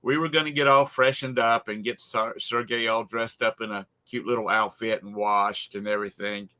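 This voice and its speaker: A man's voice: fairly low pitch, steady tone, moderate pace, bright, forceful tone. A man with a Charleston accent telling a rambling travel story in casual chat, with many fillers and restarts. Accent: Charleston, South Carolina. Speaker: co-host.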